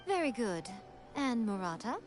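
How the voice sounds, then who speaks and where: Poised, teasing lady in charge, lightly high-voiced. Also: very animated, over music. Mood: commanding, composed. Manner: polished, deliberate, coyly theatrical. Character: poised, teasing, authoritative adult woman, shrine leader and publisher. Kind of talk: video game character acting.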